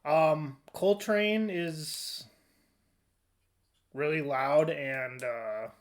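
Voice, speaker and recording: A man's voice: fairly high pitch, drawn-out words. A man hosting a casual podcast discussion, conversational and a little hesitant, often trailing off. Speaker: podcast host.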